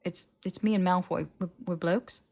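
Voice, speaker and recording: A woman's voice: lowish voice, fairly even intonation, moderate pace, vocal fry, darkish tone. A woman plays a young wizard lad in an audiobook story. He blurts things out, protests and stumbles over his words, with a flippant, defensive edge. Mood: alarmed. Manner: stammering, protesting. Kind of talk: fiction audiobook character voice.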